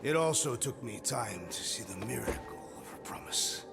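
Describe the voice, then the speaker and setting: An actor playing a solemn, devout and menacing alien warrior in a video game, with a deep gravelly voice and grave, formal wording. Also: male voice, expressive, normal speed, over music. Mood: quietly menacing. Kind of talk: video game cutscene acting.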